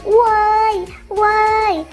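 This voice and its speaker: A woman voicing an excitable market seller in a storybook, exclaiming dramatically. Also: extremely high pitch, drawn-out words, faint music behind, voice pushed up, heavily acted. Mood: alarmed, scolding. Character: excitable Jamaican market woman, loudly startled.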